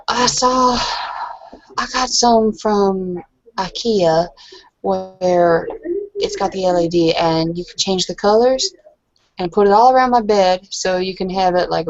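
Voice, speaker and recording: A woman's voice: mid-range voice, no room sound. Female roleplay gamer, medium voice, tentative and sincere conversational delivery, lo-fi but dry. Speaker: tabletop roleplaying player. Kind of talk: casual in-character chat.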